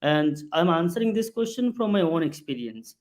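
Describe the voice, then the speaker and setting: A man answers a question as a panelist, speaking in a measured, earnest way. His voice sits somewhat high for a man. The delivery is steady and thoughtful.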